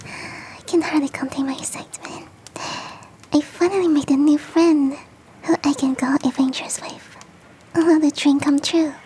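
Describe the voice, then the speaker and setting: A woman voicing a big, sweet, doting female yeti in a soft, breathy, gently coaxing whisper, as ASMR roleplay. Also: high-pitched, fairly even intonation, moderate pace, pauses often, a little hiss or hum, close to an everyday voice, rough recording. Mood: hopeful, eager. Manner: gentle, coaxing, affectionate, self-deprecating.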